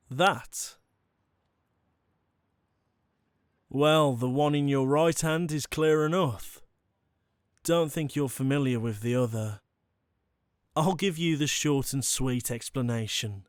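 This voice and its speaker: A man playing an enigmatic former vampire turned hunter in an audio roleplay, speaking in a coolly conversational, teasing way. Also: mid-range voice. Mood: coaxing, cool. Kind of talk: ASMR audio roleplay drama. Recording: music bed behind the voice.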